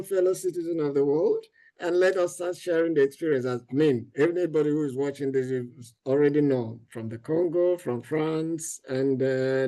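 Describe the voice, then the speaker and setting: A man hosts an interview over a video call, speaking in a mellow, dark tone set a little high. He laughs heartily and keeps nudging his guest on with encouraging, repetitive prompts. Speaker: podcast host. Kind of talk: interview hosting.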